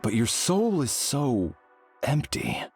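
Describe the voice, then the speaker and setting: A man's voice: medium pitch, wildly swooping pitch, slow pace, gravelly, a little mellow, slightly rough, faint music behind, full character voice. A man playing a solemn, stately and philosophical game hero who speaks formally and with measure. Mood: grave, formal. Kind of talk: video game character dialogue.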